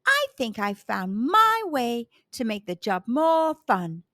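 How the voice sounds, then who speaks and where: A woman acts out an eager young boy beetle from a children's storybook. The voice is high and very lively, full of expressive exclamation, recorded close and dry. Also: slow pace, no room sound, full character voice. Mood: delighted. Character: Denver, eager young male dung beetle seeking fun.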